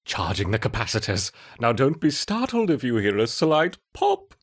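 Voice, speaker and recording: A man's voice: mid-range voice, wildly swooping pitch, moderate pace, slightly rough. A man playing an unhinged, gleefully cruel scientist in a video game, with theatrical, mock-sweet delivery. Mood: sly, menacing. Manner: theatrical, mock-sweet, swinging from murmurs to shrieks. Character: unhinged male scientist, gleefully cruel, running insect experiments. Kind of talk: scripted video game voice acting.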